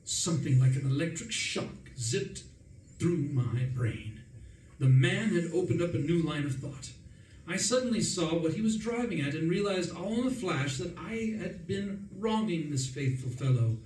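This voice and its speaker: Man acting an excitable upper-class gentleman, radio play narrator, self-dramatizing, raised pitch, echoey room. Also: moderate pace, natural voice. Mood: animated storytelling. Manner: breezy, self-dramatizing, indignant when crossed. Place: live performance in a room, distant mic. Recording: roomy, distant, faint noise.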